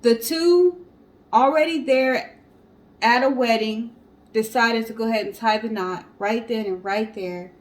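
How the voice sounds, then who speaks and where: A woman with a fairly high voice co-hosts a storytelling show. She talks casually and directly to her listeners, with an animated, informal delivery. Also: a little slow. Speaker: co-host of a storytelling guessing show. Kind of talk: casual storytelling and chat to viewers.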